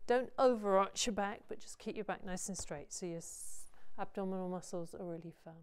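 A woman's voice: mid-range voice, a little fast, darkish tone, clear, resonant tone. A middle-aged woman instructing someone hands-on through exercises, often checking that they understand. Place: indoor gym. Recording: music behind the voice. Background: music.

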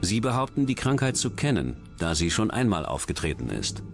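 A man's voice: fairly low pitch, sing-song, fairly quick, gravelly. A man reading scripted true-crime documentary narration as the narrator. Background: background music.

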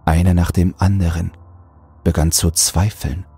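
A man narrating a scripted horror audiobook in a deliberate, brooding delivery. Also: sits low, little pitch movement, vocal fry, dark tone, breathy edge.

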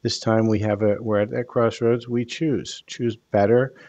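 An older man hosting a live card reading over a headset, speaking in a calm, soothing, unhurried way. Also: mid-range voice, lo-fi sound. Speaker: tarot card reader.

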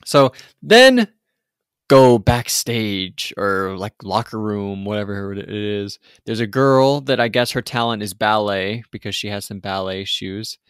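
A man's voice: lowish voice, lively intonation, a little slow, clear, resonant tone, dry recording, studio quality. A man co-hosting a podcast, casually recapping a TV episode in a rambling way with many fillers and restarts. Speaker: podcast co-host.

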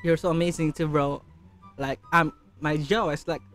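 A man's voice: fairly high pitch, steady tone, normal speed, a bit bright. A shy, polite man in his twenties from the Philippines, chatting with strangers on a video call between songs. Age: 20s. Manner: shy, polite, repeats thanks. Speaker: singing vlogger on video chat. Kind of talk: casual chat with strangers, singing with guitar. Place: bedroom with pink light, indoors. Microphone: studio mic on stand. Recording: guitar music behind.

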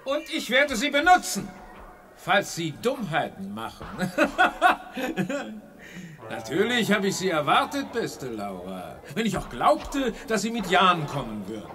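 An actor playing a gloating mad genius villain in a children's sci-fi radio drama, mock-courteous and theatrical. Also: male voice, sits high, huge pitch swings, slow pace, pushed and bright, full character voice. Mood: gloating, menacing.

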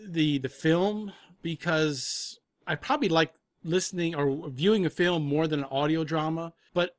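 Musing man, slightly high voice, dry sound. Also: moderate pace, no room sound. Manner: musing, hesitant, repeats himself. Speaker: film and audio drama composer, interview guest. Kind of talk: casual interview chat.

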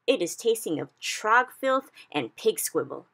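A woman's voice: lowish voice, moderate pace, gravelly, fairly bright tone. A woman voicing a big kindly male giant who talks in cheerful, mangled grammar. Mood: excited. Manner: folksy, ungrammatical, exclamatory.

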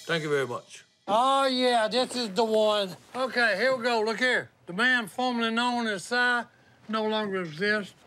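Older guy, high pitched, rambling storyteller, music going behind him. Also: moderate pace, sharp tone. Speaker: reality show cast member. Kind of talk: casual banter.

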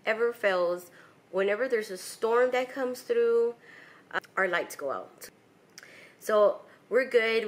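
A middle-aged woman from South Texas chatting casually to a handheld camera in her home kitchen, relaxed and full of ums and likes. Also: medium pitch. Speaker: vlogger. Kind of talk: casual home vlog chat. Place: home living room and kitchen, indoors. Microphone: handheld camera close to face.

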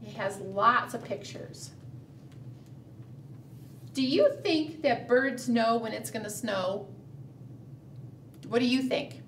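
A middle-aged woman leading a preschool storytime, animated and sing-song, coaxing small children with questions. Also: fairly high pitch, slow, pauses often. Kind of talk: storytime read-aloud and chat addressed to small children. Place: small indoor room, seated at a table. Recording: roomy, distant mic, faint room noise.

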